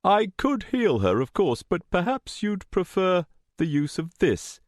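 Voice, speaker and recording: A man's voice: sits high, sing-song, a little slow, no echo, studio quality, voice pushed up. A man voicing a coolly calculating male villain in an audiobook, with formal, unruffled, conversational phrasing. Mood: sly tempting.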